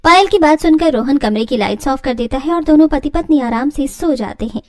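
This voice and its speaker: A woman's voice: sits high, expressive, brisk, a little mellow. A woman delivering scripted storytelling narration as the narrator of a family tale.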